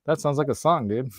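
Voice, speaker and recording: Show host, man, faint Hungarian accent, rambling chatty delivery, quick to laugh, dry close sound. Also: a little high, creaky, husky, dry recording. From United States. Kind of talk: casual chat.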